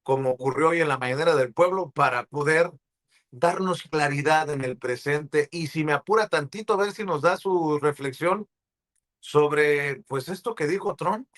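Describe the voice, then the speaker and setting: A man with a Mexican accent presents a live political show. His voice sits slightly high. He speaks in an effusive, affectionate manner as he handles introductions and questions. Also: normal speed, a bit bright. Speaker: show host.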